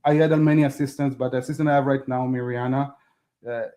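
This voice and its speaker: Guy w hebrew as first language, warm mellow tone, halting, kinda restarts a lot. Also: medium pitch, steady tone. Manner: halting, restarts and repeats, non-native phrasing. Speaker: podcast guest. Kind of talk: casual interview talk.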